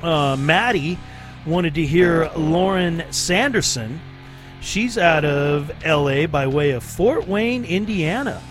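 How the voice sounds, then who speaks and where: A man hosts a late-night radio show in an easygoing, rambling way, full of fillers and quick laughs. His voice sits a little high for a man, and soft music plays faintly underneath. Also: lively intonation. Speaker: radio DJ host. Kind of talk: casual radio banter.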